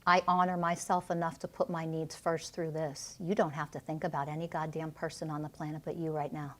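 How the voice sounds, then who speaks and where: Forceful woman leading a healing session. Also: sits low, little pitch movement, fairly quick. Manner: commanding and intense, with blunt swearing. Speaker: energy healer.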